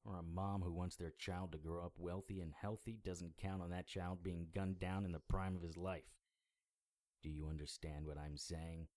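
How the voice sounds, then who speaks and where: An actor playing a hard-boiled, sardonic occult investigator in a noir audio drama, blunt and world-weary. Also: male voice, deep voice, little pitch movement, moderate pace, darkish tone, close to an everyday voice. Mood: scornful, exasperated.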